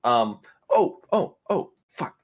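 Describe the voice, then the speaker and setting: A man's voice: mid-range voice, big pitch swings, takes it slowly, breathy edge. A man playing a tabletop roleplaying game, chatting casually with a joking, sweary manner.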